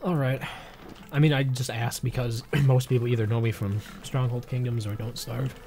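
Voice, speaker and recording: A man's voice: mid-range voice, steady tone, normal speed, warm tone, soft background music. A man getting over a cold, chatting casually with a viewer and thinking aloud as he plays through a livestream. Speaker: game streamer. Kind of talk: casual livestream chat while playing.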